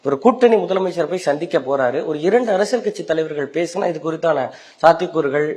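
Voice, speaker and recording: Fast-talking male Tamil news reporter. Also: fairly high pitch, a little mellow. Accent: Tamil Nadu. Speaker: news reporter asking questions. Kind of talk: press interview questioning, colloquial.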